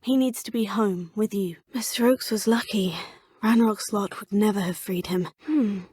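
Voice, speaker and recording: A young woman voicing a polite, composed female student witch in a video game, speaking in a measured, formal way. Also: mid-range voice, darkish tone, husky, no room sound, clean studio sound, close to an everyday voice. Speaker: player character.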